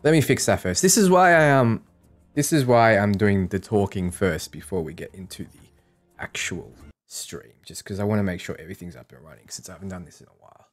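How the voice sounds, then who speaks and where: A man's voice: on the low side, lively intonation, brisk, soft background music. A man with an Australian accent hosting a livestream, chatting casually and thinking aloud in an informal way. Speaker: live streamer and host.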